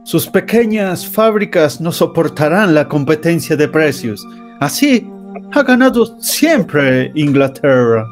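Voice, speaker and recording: A man's voice: fairly high pitch, sing-song, takes it slowly, soft background music. A man playing an arrogant, contemptuous British banker in an amateur radio drama, lecturing the others. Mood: scornful. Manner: lecturing, scornful.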